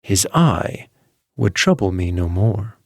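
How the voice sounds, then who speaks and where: A man narrating a classic horror tale, moving between calm menace and frantic intensity. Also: deep voice, slow, dry recording, studio quality.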